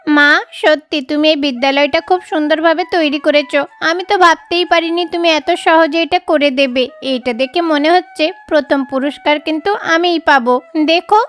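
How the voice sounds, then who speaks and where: Woman as a high-voiced little sparrow schoolgirl. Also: normal speed, fairly bright tone, faint music behind. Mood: delighted. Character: young schoolgirl sparrow, earnest daughter.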